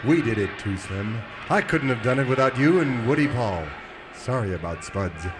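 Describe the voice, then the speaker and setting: An actor playing an earnest, self-important cowboy hero in a melodramatic old-time radio serial parody. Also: male voice, medium pitch, lively intonation, moderate pace, noisy background, close to an everyday voice. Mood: modest. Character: noble, self-important heroic singing cowboy, idol of youth.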